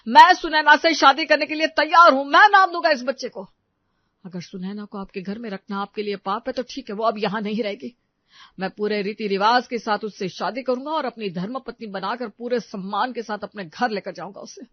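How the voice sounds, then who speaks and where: A woman's voice: on the high side, brisk, dry recording, muffled recording, lightly acted. A woman voicing an earnest, righteous young man in a melodramatic audio story, speaking with insistent conviction. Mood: indignant. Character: earnest, indignant young man defending a wronged widow.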